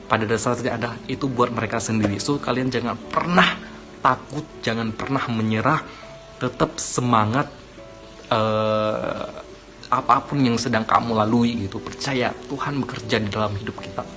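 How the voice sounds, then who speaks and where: A man's voice: medium pitch, moderate pace. Rambling young man with music behind. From Aceh, Indonesia. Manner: rambling, many fillers and self-corrections, encouraging. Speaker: vlogger. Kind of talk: casual talk to camera.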